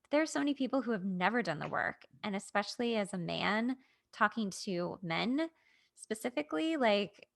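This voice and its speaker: A woman with a creaky voice chatting casually as a podcast guest or host, rambling and acting out bits of dialogue. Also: medium pitch, animated, normal speed. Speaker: podcast host and aspiring coach. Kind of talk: casual chat.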